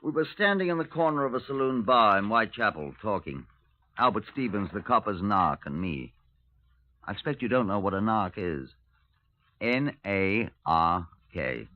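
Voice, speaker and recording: An actor playing a dry, measured British police superintendent, narrating a 1950s radio drama with understatement. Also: male voice, lowish voice, lively intonation, lightly acted. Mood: dry, composed. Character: dry, matter-of-fact Scotland Yard police superintendent. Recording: noisy, muffled narrowband vintage radio recording.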